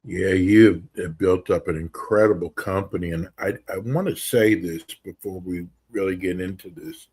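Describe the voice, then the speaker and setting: A man's voice: sits low, normal speed, hardly any pauses, darkish tone, husky. A man in his sixties hosting a casual radio talk show, upbeat and earnest in a folksy way. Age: 60s.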